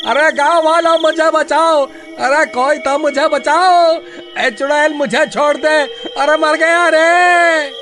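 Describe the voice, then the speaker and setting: A man's voice: very high voice, fairly even intonation, slow pace. An actor playing a cowardly village man in a horror cartoon, pleading and wailing in fear. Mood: wailing panic. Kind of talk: Hindi horror cartoon voice acting. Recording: music behind the voice.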